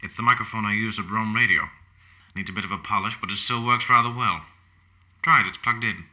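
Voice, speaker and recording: An actor playing an aging, self-assured fascist poet in an audio play, genteel and formal in manner. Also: male voice, fairly low pitch, brisk, sharp tone. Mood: eager, welcoming.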